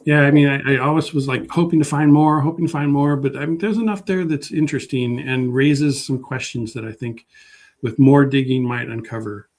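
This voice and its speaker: A man's voice: medium pitch, brisk, darkish tone. A man speaking informally as a guest historian, hesitant and often rephrasing as he searches for words. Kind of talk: informal talk and question answering.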